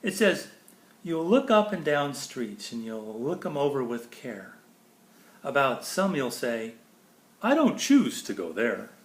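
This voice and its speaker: A man reading a children's picture book aloud expressively, with pauses between phrases and chatty explanations to listeners. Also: medium pitch, sing-song. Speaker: storybook reader. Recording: echoey room.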